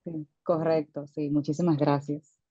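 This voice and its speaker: A woman's voice: low voice, flat delivery, measured pace, dark tone, no echo, muffled recording. A woman in her thirties, a dentist guest in an interview, telling her story with frequent hesitations and restarts. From United States, Dominican parents. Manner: hesitant, many fillers and restarts. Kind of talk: conversational interview.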